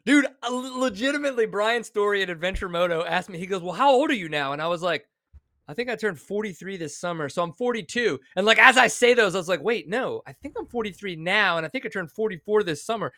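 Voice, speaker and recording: High, bright-voiced excitable man in his forties. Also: fast talker, no echo, clean studio sound. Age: 40s. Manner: excitable, emphatic. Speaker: podcast co-host. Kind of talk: casual podcast chat.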